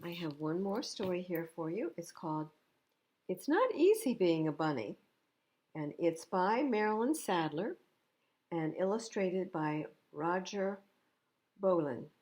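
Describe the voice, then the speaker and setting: A woman's voice: lowish voice, animated, a little slow, a little mellow. A woman reading a picture book aloud to young children, expressively, with explanatory asides about the pictures. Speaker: children's storytime reader.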